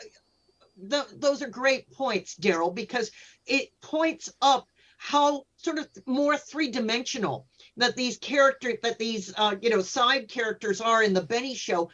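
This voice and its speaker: A woman speaking as a podcast panelist in an unscripted discussion, chatty and appreciative, a little halting as she explains. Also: fairly high pitch, a bit bright, highs cut off.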